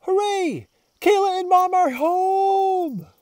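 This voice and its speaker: A man voicing an excitable, naive pet dog who loves food, reading a children's storybook aloud in a dramatic, exuberant way. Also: extremely high pitch, takes it slowly, no echo, studio quality, voice pushed up, exaggerated voice. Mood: overjoyed. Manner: exuberant, dramatic, stretches words out.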